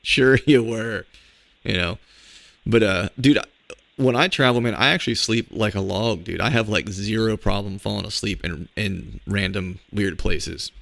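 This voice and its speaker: A man hosting a podcast, chatting casually with a cold-roughened, hoarse voice, rambling through stories with lots of fillers. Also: on the low side, normal speed, fairly bright tone, dry recording. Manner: laid-back, rambling storyteller with filler words. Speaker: podcast host.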